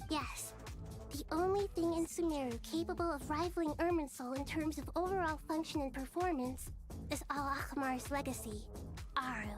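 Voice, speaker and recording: A woman playing a small, earnest young goddess in a video game, speaking in a measured, formal and solemn way. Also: high voice, close to an everyday voice. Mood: weary, regretful. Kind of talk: scripted video game dialogue. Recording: game music behind the voice.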